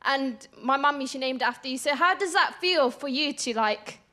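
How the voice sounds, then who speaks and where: High-voiced woman. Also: animated, normal speed, bright, forceful tone. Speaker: audience member asking a question. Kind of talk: personal, halting question.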